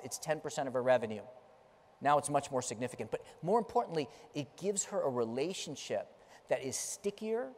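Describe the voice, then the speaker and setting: A man's voice: fairly high pitch, a little mellow, slight background noise. Emphatic middle-aged man on a keynote stage. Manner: emphatic, storytelling delivery, gesturing while pacing the stage. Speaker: keynote speaker. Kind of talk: conference keynote speech. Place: large conference stage. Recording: long reverb of a large hall.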